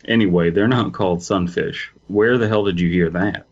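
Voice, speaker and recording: A man's voice: deep voice, normal speed, dull audio. A man reading the part of a sarcastic, teasing man on the phone, mocking and offhand.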